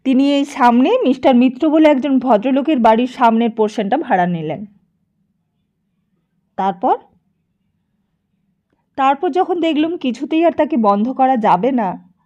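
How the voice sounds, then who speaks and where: A woman voicing a smooth-talking, meddling gentleman who moralises and flatters in an audiobook. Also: fairly high pitch, moderate pace, long pauses, highs cut off, close to an everyday voice. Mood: aggrieved, moralising. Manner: ingratiating, moralising.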